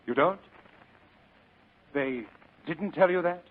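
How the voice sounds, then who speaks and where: Radio drama villain: big jovial schemer, florid and courtly, lighter-pitched voice, muffled vintage broadcast. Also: male voice, takes it slowly. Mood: probing, sly. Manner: florid, courtly, chuckling speech. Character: jovial, sly, heavyset male crime boss. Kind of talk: 1940s radio acting.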